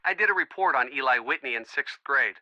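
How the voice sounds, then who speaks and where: A man's voice: mid-range voice, expressive, fairly quick, pushed and bright, no room sound, dull audio. An actor playing a friendly, earnest young man in a video game, chatty and reassuring as if on a call. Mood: friendly, helpful. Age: young adult. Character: friendly young man, earnest, bookish, supportive boyfriend type. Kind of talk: video game voice acting, phone conversation.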